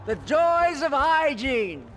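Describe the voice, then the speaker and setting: A man's voice: very high-pitched, big pitch swings, takes it slowly, a bit bright, slight background noise, heavily acted. An actor playing a deranged, fire-obsessed male fanatic in a video game, sermonizing with theatrical menace. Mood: creepy, soothing.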